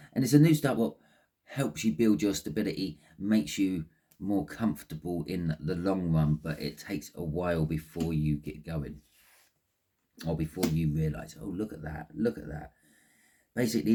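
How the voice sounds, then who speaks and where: A man's voice: low-pitched, warm tone. A man with a phlegmy throat giving a casual, rambling tarot reading, often repeating words and restarting sentences. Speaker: tarot reader.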